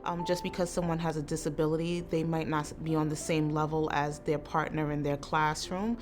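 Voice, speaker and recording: A woman with a New York accent, a student in an interview testimonial, explaining calmly. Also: sits low, brisk. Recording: soft music underneath. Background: background music.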